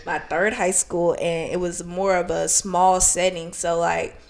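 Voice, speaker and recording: A woman's voice: lowish voice, level tone, measured pace, vocal fry, a little mellow. A young woman co-hosting a podcast in casual chat, rambling and self-conscious with a slight lisp, laughing often. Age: young adult. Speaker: podcast co-host.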